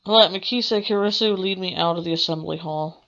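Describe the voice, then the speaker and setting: A woman's voice: on the low side, a little fast, a bit bright. A woman game streamer reading visual novel narration aloud in a theatrical way. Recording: dull audio with faint music.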